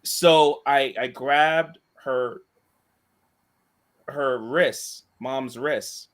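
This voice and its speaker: A man with an even, monotone voice tells a rambling story, full of restarts and asides. He talks casually, like a co-host chatting with friends. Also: mid-range voice, very slow. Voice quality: monotone voice, self-described. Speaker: co-host and school staff member.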